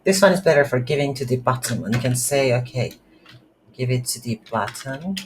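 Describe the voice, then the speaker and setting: A non-native English speaker giving an unscripted coding tutorial, thinking aloud in a halting way while working at a computer. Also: medium pitch, expressive, slight background noise. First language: not English. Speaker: programming tutorial instructor. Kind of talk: screen-recorded coding tutorial, unscripted explanation. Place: indoors at a computer.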